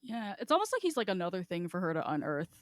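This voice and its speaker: A woman hosting a podcast, chatting casually about fiction in an animated, halting way with frequent rephrasing. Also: on the low side, dry recording, studio quality. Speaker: podcast host.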